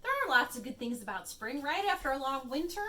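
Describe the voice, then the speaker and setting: Friendly children's librarian reading aloud. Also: female voice, high voice, big pitch swings, brisk, pushed and bright. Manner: friendly, chatty asides to kids. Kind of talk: picture-book read-aloud. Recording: voice a bit far from the mic.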